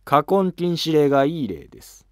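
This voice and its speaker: Ambitious male courtier, played by a man: polite, urgent, lifted pitch, animated period drama. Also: moderate pace, dry recording, clean studio sound. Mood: urgent, conspiratorial. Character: ambitious, deferential male court noble, scheming and earnest. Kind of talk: animated historical drama acting.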